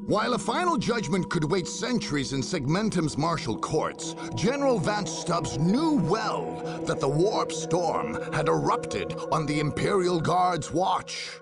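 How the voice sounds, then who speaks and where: An actor playing a grave chronicler of a grim far-future war, narrating a strategy game in a solemn, theatrical, gravelly and husky voice. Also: male voice, fairly high pitch, big pitch swings, measured pace, hardly any pauses, loud music behind the voice. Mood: solemn, proud.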